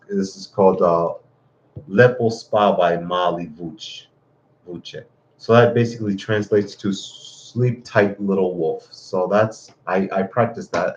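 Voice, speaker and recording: A man hosting a casual live stream, talking in a halting way with many fillers and restarts while showing books. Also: deep voice, darkish tone, muffled recording, a little hiss or hum.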